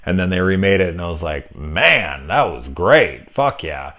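A man's voice: deep voice, lively intonation, a little slow, bright, forceful tone. A man in his thirties giving a casual, profane spoken review of a horror film, seated in a small room lined with movie shelves, talking with many fillers and restarts.

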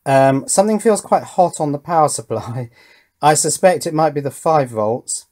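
A man's voice: fairly high pitch, animated, normal speed, darkish tone. A man giving casual hands-on demonstration commentary, thinking aloud in a tentative, musing way. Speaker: host of an electronics build channel. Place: indoor workbench.

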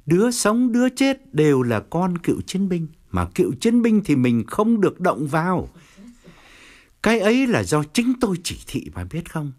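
Casting: male narrator as domineering provincial official and father, middle-aged, berating, with wide pitch swings, for an audio story. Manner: berating, authoritative. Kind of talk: audio story character dialogue.

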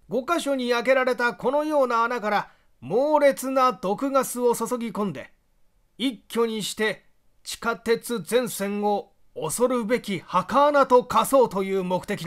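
Man acting out a high-pitched, animated investigator. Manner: emphatic, expository, polite address. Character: confident male investigator explaining a spy plot to listeners. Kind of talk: audiobook character dialogue.

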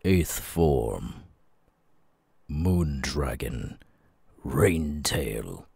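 Guy voicing a grim anime demon hunter, extremely deep and gravelly, solemn. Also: expressive, heavy background noise, heavily acted. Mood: solemn, resolute. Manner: grave, measured. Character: grim, solemn male demon-hunting swordsman. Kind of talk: anime audio roleplay, solemn monologue.

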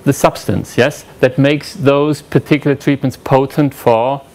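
A man's voice: mid-range voice, steady tone, measured pace, darkish tone. German-accented man giving a lecture. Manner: conversational, questioning the audience. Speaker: conference speaker. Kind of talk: academic lecture. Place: lecture room with audience, indoors.

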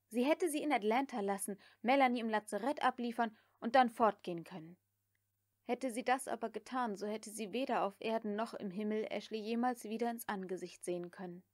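High-voiced woman narrating an audiobook. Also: no room sound, clean studio sound. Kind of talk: literary scripted narration.

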